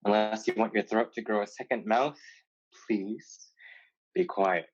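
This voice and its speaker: An actor playing a wry, calculating pirate with a dry, halting delivery in a staged play reading. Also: medium pitch, slightly rough. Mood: menacing, amused. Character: wry male pirate, calculating yet honorable suitor. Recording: dull lo-fi sound.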